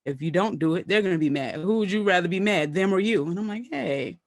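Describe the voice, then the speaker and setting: A woman's voice: on the low side, lively intonation, quick pace, no echo, clean studio sound. A woman from Brooklyn with a Brooklyn accent, a comedian guest chatting casually and animatedly, often laughing and slipping into voices when she quotes people. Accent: Brooklyn New York. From Brooklyn, New York. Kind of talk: casual chat.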